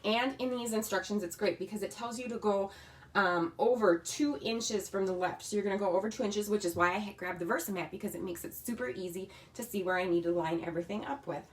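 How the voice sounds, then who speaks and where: A woman's voice: medium pitch, fairly quick. Chatty woman demonstrating a craft kit. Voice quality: slightly raspy. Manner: chatty, talks while working with her hands. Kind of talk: casual crafting tutorial. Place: home craft room.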